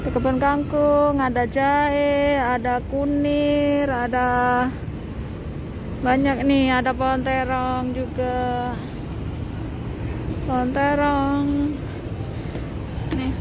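A woman from Indonesia talks in a high, light voice as she strolls through a rooftop garden, curiously asking about each plant. Her speech is slow and unhurried. Street traffic and city noise come through clearly behind her phone recording. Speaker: vlogger. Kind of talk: casual walking tour commentary. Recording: noisy outdoor city ambience. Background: city ambience and passing traffic.

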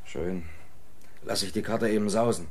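An actor playing a jealous, sullen young boyfriend in a German radio crime play, talking in a sulky, curt way. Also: male voice, lowish voice, moderate pace, darkish tone, close to an everyday voice.

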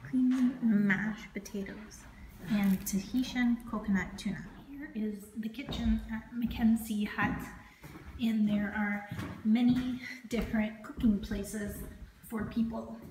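A woman in her thirties narrates a hiking vlog in short, casual remarks. Her voice sits fairly high and she takes her time, very slow between phrases. It is recorded on a handheld phone with some faint background noise. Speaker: hiker vlogger. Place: outdoors on mountain trail, sometimes inside hut. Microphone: handheld phone at arm's length.

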